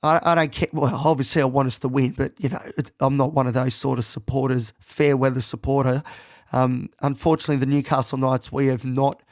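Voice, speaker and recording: An Australian man hosting his own podcast, talking casually and rambling with lots of ums, croaky and nasal from a head cold, self-deprecating about his voice. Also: medium pitch, flat delivery, a little fast, darkish tone, dry recording, studio quality. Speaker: podcast host. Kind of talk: casual solo podcast monologue.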